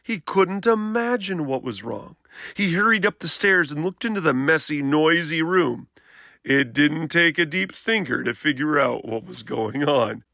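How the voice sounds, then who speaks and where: A man narrates a children's story expressively. His voice sits fairly high for a man, bright with a creaky edge, and the recording is dry and close. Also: lively intonation, a little slow, no room sound. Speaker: read-aloud storyteller.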